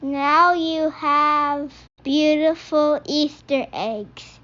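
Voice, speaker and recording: A woman voicing a patient but exasperated rabbit instructor from a picture book, speaking in deliberate, halting steps. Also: sits high, a bit bright, dull audio, exaggerated voice. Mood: instructive.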